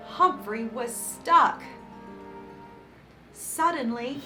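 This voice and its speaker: A woman reading a picture book aloud to children as host, with dramatic emphasis and warm, encouraging asides. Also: high voice. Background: background music.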